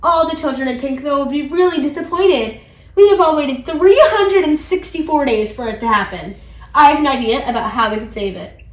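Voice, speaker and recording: A woman's voice: sits high, animated, a little fast, roomy sound, rough recording. A woman reading the part of an eager, dramatic little girl superhero from a storybook, earnest and exclamatory. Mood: determined, pleading.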